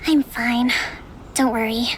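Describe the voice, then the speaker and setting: A woman playing a gentle, composed young military leader in an anime game, speaking in a measured, formal, self-possessed way. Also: a little high, steady tone, a little hiss or hum. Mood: regretful, composed. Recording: music behind.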